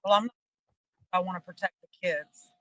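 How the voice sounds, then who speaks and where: A woman's voice: fairly low pitch, normal speed, sharp tone, no echo, low-quality recording. A middle-aged woman talking casually and personally on a jail video call. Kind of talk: casual personal phone conversation.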